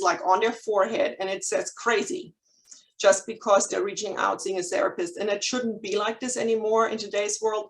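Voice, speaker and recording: German lady counselor in her 40s giving a talk, candid, lots of ums, mid pitch. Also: poor audio. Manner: candid, frequent ums and restarts, nervous humor. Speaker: licensed counselor. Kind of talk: chamber of commerce presentation.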